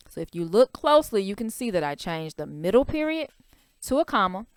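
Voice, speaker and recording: A woman's voice: mid-range voice, clean tone, no echo, studio quality. A young woman teacher explaining a writing worksheet to young children step by step, in a patient, careful way. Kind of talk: recorded writing lesson over a worksheet.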